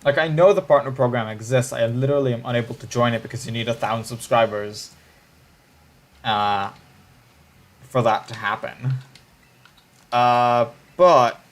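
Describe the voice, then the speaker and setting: A man's voice: medium pitch, steady tone, normal speed, long pauses. A man, chatting casually on a livestream in a musing, hesitant way with frequent restarts. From Israel.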